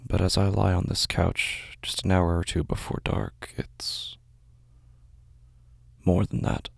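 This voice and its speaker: A man playing a weary, anxious shut-in, narrating a horror audio drama in a halting, hushed, introspective way. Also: sits low, little pitch movement, gravelly, husky, no echo, clean studio sound, exaggerated voice. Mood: uneasy, brooding. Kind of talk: first-person horror audio drama monologue.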